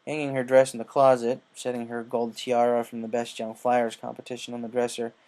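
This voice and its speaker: Man narrating a story, mid-pitched. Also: monotone, brisk, darkish tone. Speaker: audiobook narrator. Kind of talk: scripted fan fiction narration.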